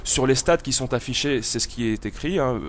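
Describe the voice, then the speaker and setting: A man's voice: mid-range voice, brisk, slight background noise. A man with a cold giving casual, hesitant, meandering gameplay commentary as the host of a gaming video. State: has a cold.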